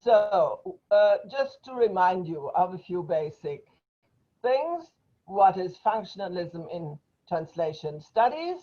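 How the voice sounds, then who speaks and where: Older woman, non-native english, unhurried academic lecture, many pauses, muffled lo-fi video-call audio. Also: lowish voice, very animated. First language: not English. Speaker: invited speaker, professor.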